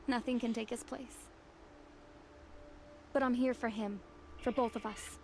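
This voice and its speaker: A woman's voice: fairly high pitch, steady tone, measured pace, a little hiss or hum. A woman acting a grieving but determined young woman in a video game, earnest, tender and solemn. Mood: grieving resolve.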